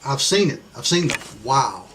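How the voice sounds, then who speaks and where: A man talks earnestly as a booking agent in an interview. He tells his story with admiration, his voice mid-pitched and plain, with faint background hum. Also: big pitch swings, moderate pace, fairly bright tone. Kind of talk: interview talk.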